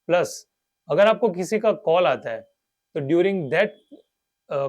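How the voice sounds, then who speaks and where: An Indian man hosting a gear review, presenting features in a scripted way and mixing English phrases into his talk. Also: on the high side. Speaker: host of a motorcycle gear review channel. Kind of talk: scripted product review to camera.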